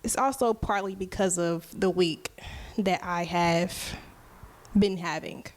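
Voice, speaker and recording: A woman in her twenties hosting a solo podcast, talking casually and openly to her listeners, often checking in with "right?". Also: fairly low pitch. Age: 20s. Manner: slow, unhurried, conversational, often asking "right?". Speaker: podcast host and musician.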